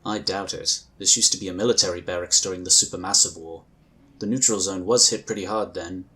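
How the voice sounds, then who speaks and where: A man voicing a calm, dutiful space agent. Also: low-pitched. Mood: matter-of-fact. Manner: measured, polite, firm. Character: calm, dutiful male psionic scion agent. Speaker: character in audio drama.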